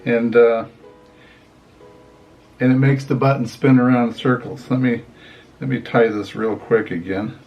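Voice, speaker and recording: A man's voice: mid-range voice, fairly even intonation, measured pace, long pauses, highs cut off, faint music behind. An older man, a grandfather talking to children in a folksy, rambling, casual storytelling way. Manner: folksy, rambling, often saying "anyway". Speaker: grandfather reading picture books to children.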